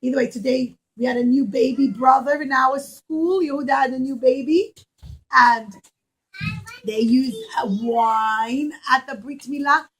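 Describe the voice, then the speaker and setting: A woman preschool teacher telling a story to young children in an animated, questioning way, repeating key words. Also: high voice, a little slow, breathy edge, low-quality recording.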